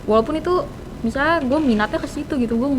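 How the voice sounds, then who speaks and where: A teenage girl with a Jakarta accent, answering as a podcast guest in informal, slangy speech sprinkled with English terms. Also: fast. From Indonesia. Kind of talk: casual interview chat. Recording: noisy room, low rumble.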